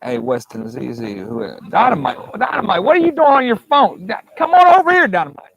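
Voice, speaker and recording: A man's voice: sits high, big pitch swings, fairly quick, gravelly, fairly bright tone, slightly rough, highs cut off. A man hosting a casual live stream from a campsite, rambling and joking with viewers over a clip-on mic. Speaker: livestream host. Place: outdoors at a campsite. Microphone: clip-on mic with fuzzy windscreen.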